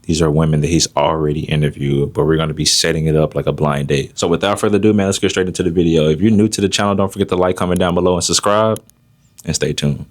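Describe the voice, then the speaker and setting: A man's voice: extremely low pitch, fairly even intonation, quick pace. A man hosting a public blind-date interview, teasing the guests with playful banter. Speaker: host.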